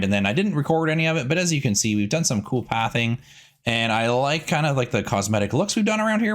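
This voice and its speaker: A man hosting a gaming series, giving chatty, rambling, easygoing casual commentary as he plays. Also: fairly low pitch.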